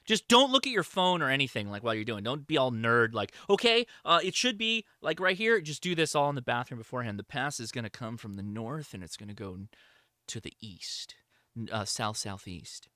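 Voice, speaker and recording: A man's voice: mid-range voice, big pitch swings, fairly quick, pushed and bright, no room sound, studio quality. A man hosting a livestream, answering chat questions in a casual, informal, enthusiastic hobbyist way.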